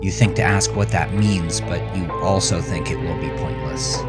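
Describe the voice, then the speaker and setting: Deep-voiced man narrating horror. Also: normal speed, over music. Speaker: author narrating his own horror fiction. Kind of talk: dramatic second-person horror narration.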